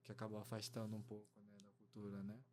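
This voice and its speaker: A man's voice: lowish voice, completely flat delivery, fast, a little mellow. A man co-hosting a podcast interview, welcoming the guest and asking open questions to draw her out. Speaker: podcast co-host.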